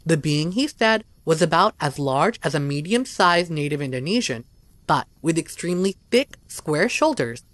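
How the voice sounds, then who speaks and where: A narrator with a lively, rising-and-falling delivery reads a scripted documentary story. The voice sits close and dry, with no room sound around it. The reading is measured and even, with no slips. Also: medium pitch, no echo.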